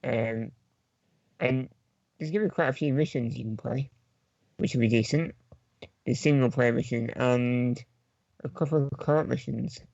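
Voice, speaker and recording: A man with a creaky, fry-edged voice talks casually as a panellist on a gaming podcast. The sound is close and dry, slightly dull at the top. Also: medium pitch, a little slow, pauses often, a little mellow, no echo. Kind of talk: casual chat about video games.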